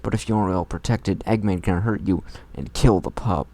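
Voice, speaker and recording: A man's voice: sits low, steady tone, a little fast, warm tone, a little hiss or hum. A man reading fan fiction aloud in a mocking, irreverent way, tossing in crude comments of his own.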